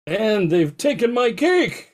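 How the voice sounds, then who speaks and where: Man acting a rich old uncle, gruff, pompous, raspy, highish voice, radio mystery style. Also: sing-song, slow pace, heavily acted. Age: older.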